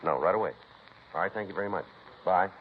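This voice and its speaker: An American man playing a terse, matter-of-fact police detective in a 1950s radio drama, with a clipped, deadpan, businesslike delivery. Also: deep voice, fast talker, close to an everyday voice. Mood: dry, businesslike. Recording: noisy, muffled 1950s radio recording.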